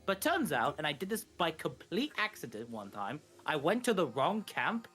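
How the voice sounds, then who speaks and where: A man's voice: fairly high pitch, very animated, moderate pace, fairly bright tone, soft background music. A man co-commentating on a video game, casually explaining details as they come.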